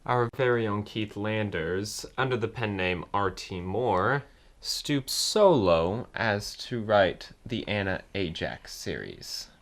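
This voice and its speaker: A man's voice: on the low side, lively intonation, slow, a bit bright, heavily acted. A man playing a put-upon young writer in a comedy-drama web series, hesitant and sardonic. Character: dry, put-upon young male writer haunted by ghosts.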